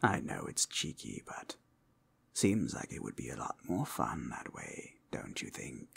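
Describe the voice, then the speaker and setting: Guy as a polite cheeky forest wanderer for asmr rp, low soft husky voice, kinda conspiratorial. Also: sing-song, a little slow, heavily acted. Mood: friendly, conspiratorial. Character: cheeky, polite forest traveler gathering materials, offering help. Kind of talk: ASMR roleplay.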